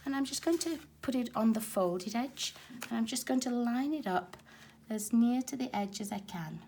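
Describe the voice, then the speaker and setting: A woman with a raspy, hoarse voice from a cold, chatting casually as she demonstrates card making at her craft table. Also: a little high, animated, normal speed, dark tone, a little hiss or hum. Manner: chatty and explanatory, talking while working with her hands. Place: craft table at home, filmed from overhead.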